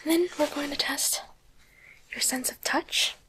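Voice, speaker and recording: A woman playing a softly teasing, curious demon doctor in a coaxing, deliberate whisper for an ASMR roleplay, close to the microphone. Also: sits high, fairly even intonation, low-quality recording. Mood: calm, instructive.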